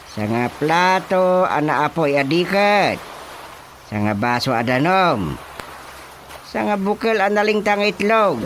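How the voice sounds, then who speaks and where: An actor playing a village chairman and folk healer giving ritual instructions in a radio drama, deliberate, with drawn-out hums and an Ilocano accent. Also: sits high, big pitch swings, fairly bright tone, slight background noise, full character voice. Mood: instructing. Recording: faint music behind the voice.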